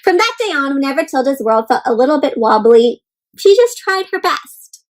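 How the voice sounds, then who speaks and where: High-pitched woman reading aloud to children. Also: normal speed, rough recording. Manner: expressive, encouraging, asks listeners questions; addresses listeners as friends, simple child-directed phrasing. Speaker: children's read-aloud host. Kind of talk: interactive picture-book read-aloud to children.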